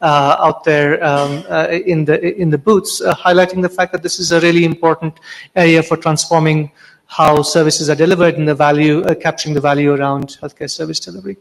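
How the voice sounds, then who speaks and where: A man's voice: on the high side, steady tone, fairly quick, gravelly. A man moderating a panel discussion, hesitant with many fillers. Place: conference hall. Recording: long hall reverb.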